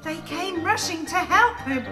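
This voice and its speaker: A woman narrates a storybook radio play in a high voice. She takes her time, in an echoey room with music behind her. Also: lively intonation, unhurried.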